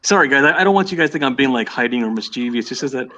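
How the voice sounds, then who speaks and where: A man from the United States calling into a live-stream debate, arguing fast and insistently and often repeating himself. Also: mid-range voice, animated, pushed and bright, a little hiss or hum. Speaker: caller. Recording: muffled lo-fi call audio.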